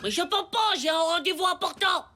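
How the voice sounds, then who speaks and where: A performer voicing a crude, excitable ten-year-old boy in a cartoon, slangy and quick to protest. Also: extremely high pitch, noisy background. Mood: indignant.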